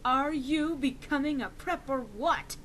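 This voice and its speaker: A woman's voice: sits high, lively intonation, measured pace, fairly bright tone, voice pushed up. A woman voicing a melodramatic, easily outraged teenage goth girl in a dramatic story reading, gushing and spelling out slang initials. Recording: noisy amateur home recording.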